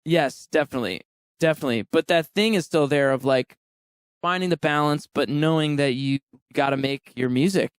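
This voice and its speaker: Smooth-voiced man in his thirties. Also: on the high side, fairly quick, no echo, studio quality. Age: 30s. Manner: candid, laughs often. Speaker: podcast guest, musician. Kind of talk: casual interview chat.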